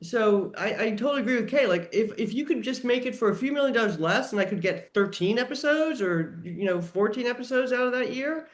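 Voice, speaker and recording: A man with a notably high voice talks on a panel show. His delivery is conversational and emphatic, like someone sharing opinions among fellow fans. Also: lively intonation, brisk, few pauses. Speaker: podcast panelist. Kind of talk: casual panel discussion.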